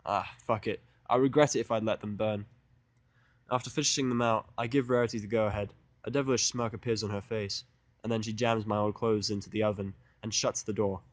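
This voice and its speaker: A man's voice: on the low side, steady tone, fairly quick, no echo. Man narrating a story, very dry sound. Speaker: fan fiction reader and narrator. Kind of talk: scripted first-person story narration.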